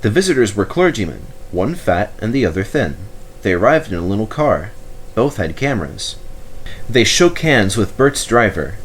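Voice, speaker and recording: A man reading a children's story aloud as scripted narration, in an expressive manner. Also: lowish voice, normal speed, slight background noise. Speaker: storybook reader.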